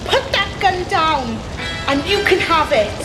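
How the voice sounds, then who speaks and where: An actress playing a bold, sharp-tongued young daughter in a radio play, brash and commanding. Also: female voice, very high voice, lively intonation, unhurried, reverberant, music in the background, rough recording. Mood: defiant. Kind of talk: old-time radio play acting.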